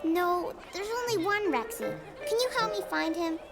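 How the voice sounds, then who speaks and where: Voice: very high-pitched, very animated, moderate pace, vocal fry, over loud music, full character voice. An actor voicing a sweet, curious four-year-old boy in a children's cartoon, with an earnest, simple delivery.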